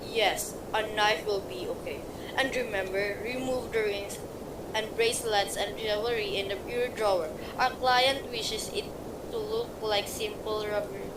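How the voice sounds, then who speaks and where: A woman's voice: mid-range voice, normal speed, close to an everyday voice. A cold, clipped male criminal, voiced by a woman, gives orders in a radio play. The voice sounds far from the mic in a roomy space. Hiss fills the background. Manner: curt, flat, commanding.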